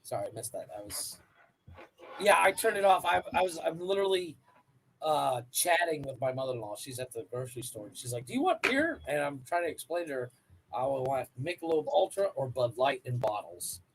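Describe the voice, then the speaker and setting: Wisecracking co-host man, lively pitch. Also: brisk, a little hiss or hum, low-quality recording. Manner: wisecracking, acts out quotes. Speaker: podcast co-host. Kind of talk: casual comedy podcast chat.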